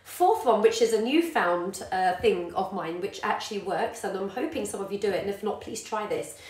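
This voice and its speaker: A woman's voice: mid-range voice, lively intonation, moderate pace. A woman, a yoga teacher and parent chatting casually to live viewers with many ums. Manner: warm encouraging, many ums, greets viewers by name. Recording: echoey room.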